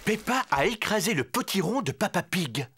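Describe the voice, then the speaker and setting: A woman's voice: medium pitch, big pitch swings, moderate pace, a bit bright, slightly rough. A woman narrating a children's cartoon from a script.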